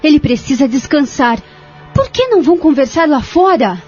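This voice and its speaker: A woman's voice: sits high, very animated, moderate pace, darkish tone. A woman playing a severe, strict nurse in an audio soap opera. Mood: stern. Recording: dull lo-fi audio, music behind.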